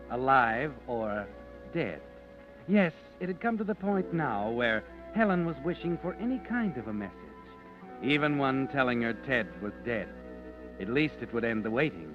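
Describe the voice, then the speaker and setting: A man's voice: medium pitch, lightly acted. Guy voicing a spooky all-knowing night walker narrating an old radio play, voice rising and falling a lot, music underneath, muffled old recording. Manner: ominous, knowing, deliberate storytelling. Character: mysterious male night-walking narrator who knows secrets. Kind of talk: 1940s radio drama narration.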